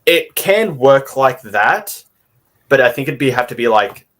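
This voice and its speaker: A man co-hosting a fan discussion in a loose, casual way, haltingly circling back on his phrases. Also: mid-range voice, sing-song, a bit bright. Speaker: podcast co-host.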